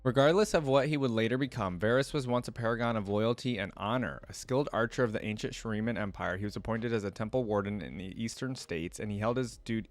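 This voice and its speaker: A man with a fairly deep voice hosts a reaction video in a dry-sounding space. He moves between reading text aloud and offering casual commentary, recapping what he reads in plain, everyday words. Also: no room sound.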